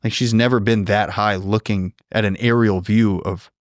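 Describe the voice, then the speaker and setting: A man's voice: fairly low pitch, fairly even intonation, normal speed, no echo, clean studio sound. An American man chatting casually as a podcast co-host, telling stories in profane, laid-back bro slang. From raised in a household of Arabic grandparents and cousins, half Vietnamese. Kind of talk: casual chat, storytelling.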